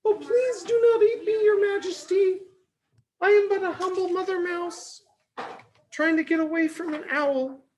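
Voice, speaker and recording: A squeaky, high-pitched mother mouse from a children's storybook, acted by a man. She is tiny, humble and very polite. She speaks slowly, in an earnest plea. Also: fairly even intonation, a little mellow, slight background noise. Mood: frightened pleading.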